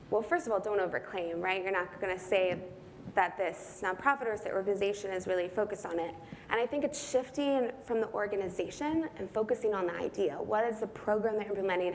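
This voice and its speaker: A young woman with a mid-range voice answers questions from the floor, earnest and working through her thoughts as she goes, often checking in with a quick "right?". A faint hiss sits under her voice. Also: mid-range voice. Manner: earnest, thinking aloud, frequent "right?" tags. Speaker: speaker answering audience questions. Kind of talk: Q&A answers at a talk. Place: conference room.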